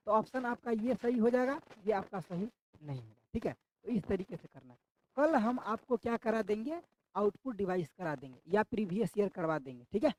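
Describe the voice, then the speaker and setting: A man's voice: sits high, a little mellow, dry recording, rough recording. Man teaching with a sore throat. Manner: repeats questions back to the class, quizzing style. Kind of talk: online exam-prep class.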